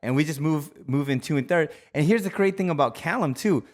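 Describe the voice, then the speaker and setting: A man hosts a casual podcast chat, and his voice sits a little high. He rambles loosely and fills his sentences with hesitations. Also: brisk. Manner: loose, rambling with many fillers. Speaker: podcast co-host.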